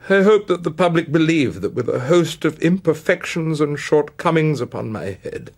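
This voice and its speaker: Man acting a solemn Victorian author, raised husky voice. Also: on the high side, sing-song, pitched above the performer's own voice. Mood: solemn, heartfelt. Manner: solemn, declamatory, measured public address. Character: ailing, dignified Victorian male author bidding farewell. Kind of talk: theatrical dramatised speech.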